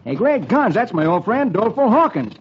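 A man voicing a steady, heroic cowboy with folksy, commanding talk in a radio story for children. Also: sits high, moderate pace, husky, voice pushed up, heavily acted. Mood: friendly, concerned. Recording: dull, noisy old radio recording.

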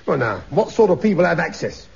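An actor playing a blustering, pompous middle-aged father in a radio comedy, loud and bossy, mangling long words. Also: fairly high pitch, sing-song, a little fast, vocal fry. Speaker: comic character. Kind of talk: 1950s radio comedy acting. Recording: dull old radio recording with studio audience.

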